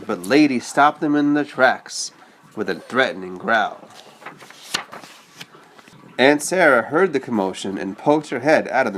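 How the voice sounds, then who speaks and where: A man's voice: medium pitch, a bit bright. A man reading a children's story aloud and chatting patiently with a child, explaining as he goes. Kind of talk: read-aloud storytelling with casual asides to a child.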